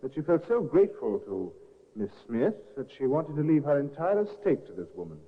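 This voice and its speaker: A matter-of-fact witness cousin. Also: male voice, fairly high pitch, big pitch swings, a little fast, slightly rough. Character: plain-spoken male cousin witness. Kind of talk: 1950s radio drama acting. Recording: tinny old radio broadcast.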